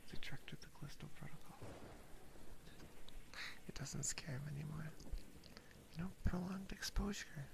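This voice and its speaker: A woman whispers softly and playfully in a casual ASMR chat, very close to a binaural microphone, with a breathy, intimate delivery. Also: deep voice, normal speed, long pauses. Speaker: streamer and ASMR host. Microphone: close binaural ear microphone.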